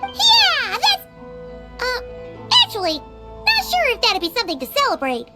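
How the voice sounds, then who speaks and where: A woman's voice: extremely high pitch, wildly swooping pitch, measured pace, full character voice. A woman voicing a tiny, bubbly, loyal girl companion in a video game, with a chirpy, exuberant manner. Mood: cheering. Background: prominent children's music.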